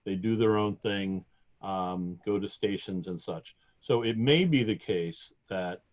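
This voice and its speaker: Low-voiced hesitant man on a call. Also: measured pace, lo-fi sound. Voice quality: loud projecting voice. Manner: hesitant, self-deprecating, joking. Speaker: sports department staff. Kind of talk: webinar Q&A answers.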